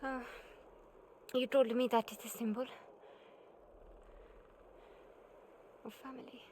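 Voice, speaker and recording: A woman's voice: sits high, level tone, moderate pace, darkish tone, slight background noise, lightly acted. A woman playing an earnest, curious young female apprentice in a cinematic video game, with a questioning manner. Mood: hesitant, uneasy.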